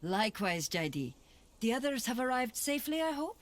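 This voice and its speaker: An actress playing a steadfast, grave woman warrior and adviser in a fantasy game cutscene, speaking in a solemn, measured, formal way. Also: fairly high pitch, very animated. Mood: dry, alert. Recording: voice over loud background music.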